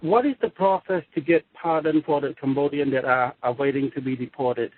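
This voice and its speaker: A man's voice: mid-range voice, brisk, no room sound. A man calling in as a reporter, politely putting a question on a press call. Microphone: telephone. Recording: tinny, dry phone line.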